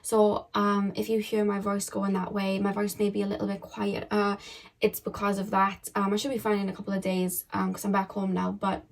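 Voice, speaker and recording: A woman giving a casual tarot reading to her viewers. Her voice is hoarse and croaky, and she talks in a chatty, rambling way, full of "um" and "like". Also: medium pitch, completely flat delivery, normal speed, slight background noise. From United Kingdom. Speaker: tarot card reader.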